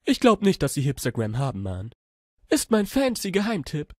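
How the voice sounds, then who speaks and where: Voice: mid-range voice, wildly swooping pitch, moderate pace, darkish tone. A man voicing a laid-back, smug hipster monster sidekick in a cartoon fandub, slangy and casual.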